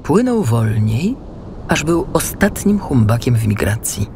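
A man narrating a children's audiobook in an expressive, scripted storytelling style. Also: mid-range voice, a little slow, background noise.

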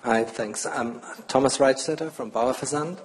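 A man in the audience asking a question at a conference, halting and hesitant, with many restarts. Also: medium pitch, slight background noise. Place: large conference hall. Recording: hall reverb.

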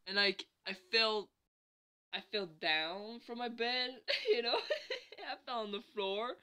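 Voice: medium pitch, expressive, slow pace, pushed and bright, smooth. A vlogger sick with a bad cold, chatting casually at home in a rambling way and laughing often. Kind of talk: casual late-night vlog chat. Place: indoors at home.

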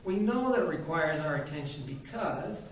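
A man's voice: fairly high pitch, animated, normal speed, natural voice. A man voicing a pompous old male quack who reads heads, speaking in a haughty, grandiloquent way. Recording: very echoey room, mic at a distance, faint noise.

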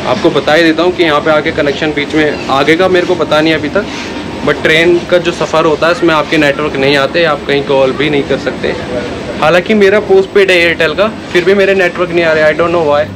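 A man's voice: fairly high pitch, fairly even intonation, brisk, noisy background. A man vlogging casually from a train journey, chatting to viewers and mixing English words into his Hindi. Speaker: travel vlogger. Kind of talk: casual travel vlog narration. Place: on a train and at stops along the way. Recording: loud background music. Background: music.

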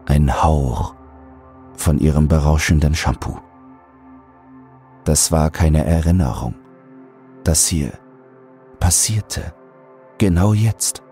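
Very deep, slow male horror narrator. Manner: measured, deliberate, suspenseful. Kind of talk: scripted dramatic narration. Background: soft music.

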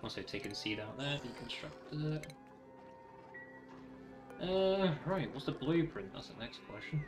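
A man's voice: medium pitch, moderate pace. A man giving casual gameplay commentary, thinking aloud in a rambling way and reading game text out. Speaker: gaming video host. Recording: roomy echo, faint music behind.

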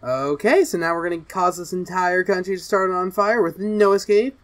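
A woman's voice: on the low side, moderate pace, natural voice. Woman playing a gleefully sadistic gamer girl streamer. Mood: mischievous, smug. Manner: excitable, mischievous, giggly. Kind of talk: roleplay of a livestream.

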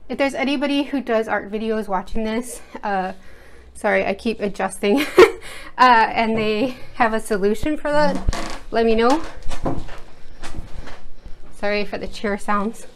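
A woman's voice: fairly high pitch, a little slow, long pauses, noisy background. An American woman hosting an art video, chatting casually and rambling with lots of fillers and restarts while she works at her desk. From United States. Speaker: art channel host. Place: indoors at a home desk. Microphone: overhead camera setup, speaker off-screen.

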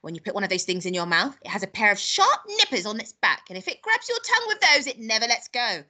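Lady reading as mean old storybook grandma, snappy and bossy, raspy edge, very animated. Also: fairly high pitch, fast, exaggerated voice. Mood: gloating. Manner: bossy, scolding, relishing nasty things. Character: wicked, snappish, tiny old grandmother, maybe a witch.

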